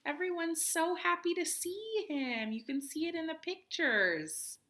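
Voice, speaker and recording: A woman reading a children's picture book aloud expressively, with dramatic pauses, chatty asides and questions for her young listeners. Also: very high voice, animated, sharp tone, slight background noise. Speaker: children's read-aloud host.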